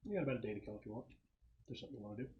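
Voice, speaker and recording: A man running a casual tabletop role-playing game as game master, explaining the setup with halting pauses. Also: on the low side, expressive, narrow, tinny audio.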